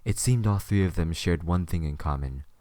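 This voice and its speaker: A man's voice: deep voice, normal speed, warm tone, no room sound, clean studio sound. A man reading scripted third-person story narration as the narrator of an audio drama.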